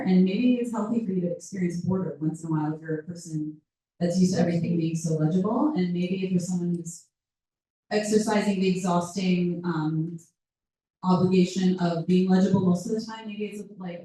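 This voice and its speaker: A woman answers questions at a book event in a thoughtful, searching way, often pausing to find the right word. Her voice is low and mellow. She sits far from the microphone in a very echoey room. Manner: reflective, searching for words with restarts. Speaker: author in conversation. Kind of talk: casual talk at a live book event. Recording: roomy hall picked up from far off.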